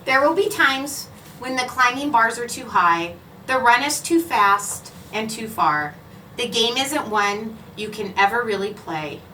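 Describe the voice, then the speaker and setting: A woman reading a children's picture book aloud in a measured, expressive storytelling way, with clear phrasing. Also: on the high side. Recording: roomy, echoing, distant mic, faint noise.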